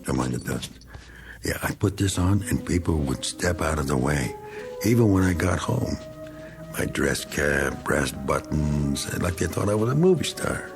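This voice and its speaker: An actor playing a bitter, self-pitying middle-aged American war veteran in a radio drama, talking in a folksy, rambling, needling way. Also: male voice, a bass voice, animated, unhurried, over music, exaggerated voice. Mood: nostalgic, self-pitying.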